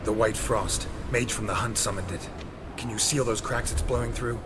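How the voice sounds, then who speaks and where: A man playing a gruff, laconic monster hunter in a video game, terse and dry, with a gravelly, breathy voice. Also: fairly low pitch, normal speed, background noise, close to an everyday voice. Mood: grim.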